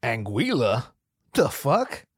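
A man's voice: mid-range voice, wildly swooping pitch, slow pace, husky, no echo. Guy ranting solo on his podcast, swears a lot, trips over his words, totally dry room. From US West Coast, Pacific Northwest. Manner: profane, rambling, self-interrupting. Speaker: podcast host. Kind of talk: solo comedic podcast rant.

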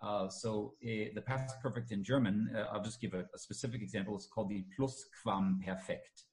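A man's voice: fairly low pitch, steady tone, normal speed, a little mellow, low-quality recording. A man who teaches languages, talking casually with frequent fillers and restarts. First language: English. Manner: hesitant, many fillers and restarts. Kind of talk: casual conversation.

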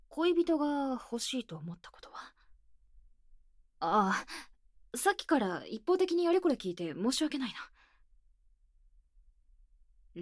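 Shy tomboyish high school girl, earnest, higher-pitched, hesitant half-whispers, intimate ASMR drama, dry close binaural sound. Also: darkish tone, no echo, heavily acted. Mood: bashful, earnest. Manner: boyish, plain-spoken, hesitant, intimate, often dropping to near-whisper. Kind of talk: Japanese ASMR situation voice drama. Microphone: close binaural microphone, dry studio.

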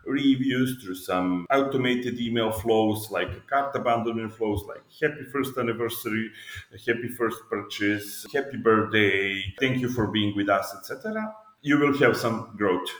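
Low-pitched Serbian man in an echoey room. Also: normal speed, fairly bright tone. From Belgrade, Serbia. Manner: explains step by step with examples and lists. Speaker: podcast guest, e-commerce business owner. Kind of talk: explanatory interview answers.

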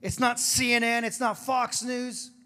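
A man preaching a sermon with a throaty, hoarse voice, moving between emphatic declarations and casual, joking asides. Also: very high voice, little pitch movement, unhurried, bright, forceful tone. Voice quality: gravelly, throaty. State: hoarse after singing. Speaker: pastor.

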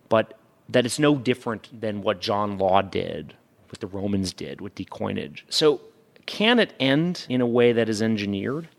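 Low, creaky-voiced man explaining analytically. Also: fairly bright tone. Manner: emphatic, rhetorical questions and repetition. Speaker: podcast guest, investment fund manager. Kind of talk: analytical interview talk.

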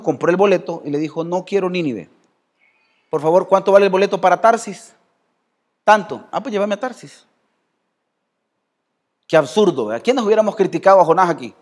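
High-voiced Salvadoran pastor preaching. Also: male voice, a little mellow. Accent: Salvadoran Spanish. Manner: conversational preaching, quizzing the congregation by name. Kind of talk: sermon. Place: church hall.

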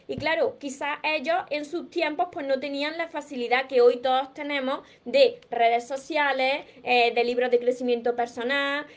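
A woman with a Spain Spanish accent and a notably high voice leads a live self-help stream. She explains things in a teacherly, earnest way, often repeating herself for emphasis and turning to her viewers' comments. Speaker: personal growth coach.

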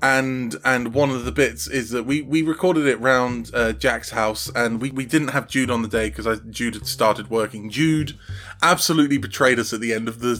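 Male interview guest, mid-range voice. Casual, conversational, halting delivery of someone working out his thoughts as he speaks. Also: mid-range voice, a bit bright. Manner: halting, thinking aloud. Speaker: audio drama creator interviewed as guest.

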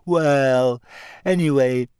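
A man's voice: medium pitch, a little mellow, smooth, no room sound, clean studio sound. A man reading an audiobook, voicing a glum, sly schoolgirl ghost who mopes and drags her words. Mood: moping. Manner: morose, moping, slow. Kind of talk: audiobook character voice.

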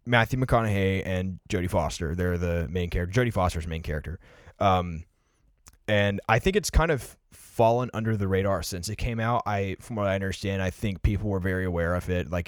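A young man with a low-pitched voice talks in a relaxed, conversational podcast style. He explains his ideas reflectively, peppering them with filler words. The recording is dry, with very little room sound. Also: dry recording. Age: early 20s. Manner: reflective, explanatory, filler-heavy with "like" and "you know". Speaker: podcast co-host discussing favorite films.

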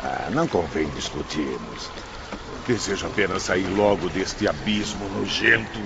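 Bitter lost soul, dragging pace, swooping intonation, scornful, radio play. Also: male voice, sits low, expressive, gravelly, full character voice. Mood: weary disgust. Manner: slow, emphatic, scornful. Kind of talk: theatrical radio drama acting. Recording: muffled, noisy radio drama.

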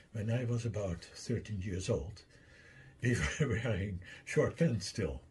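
Older Dutch-accented male lecturer, deep and mellow, deliberate, walks through his theory step by step. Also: a little hiss or hum. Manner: deliberate, explains step by step with asides. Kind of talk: slide lecture.

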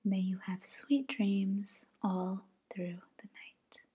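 A teenage girl voicing a gentle, loving mother bunny in a bedtime storybook, speaking softly and tenderly. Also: fairly high pitch, normal speed, close to an everyday voice. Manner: soft, tender.

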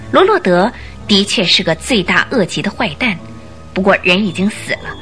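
A woman narrating a radio detective drama, telling the story in a dramatic, suspenseful way. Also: mid-range voice. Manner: expressive, suspenseful delivery. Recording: music under the voice.

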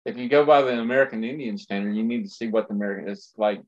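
A man in casual chat who rambles and stops to restart his sentences. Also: lowish voice. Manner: rambling, halting, many restarts. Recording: dull lo-fi sound.

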